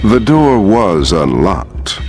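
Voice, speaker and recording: A man's voice: low-pitched, very animated, takes it slowly, over music, exaggerated voice. A man playing a cold, haughty corporate villain in a video game, speaking formally with dry contempt. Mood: dry, unruffled.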